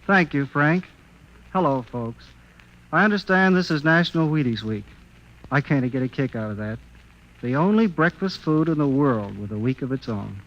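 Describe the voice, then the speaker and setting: A man's voice: mid-range voice, lively intonation, moderate pace. A man with an American accent giving a folksy scripted testimonial on old radio. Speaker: musician endorsing a product. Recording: dull vintage radio recording.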